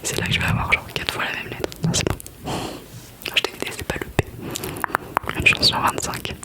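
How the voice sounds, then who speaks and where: Softly whispering young woman. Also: fairly quick. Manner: soft whisper, repeats letters over and over; playful, chatty, self-mocking. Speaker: ASMR creator. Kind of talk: whispered ASMR letter guessing game.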